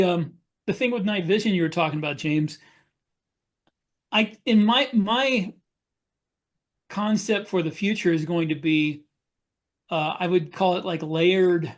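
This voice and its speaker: A man talking casually as a podcast panelist, with a halting, rambling delivery. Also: high voice.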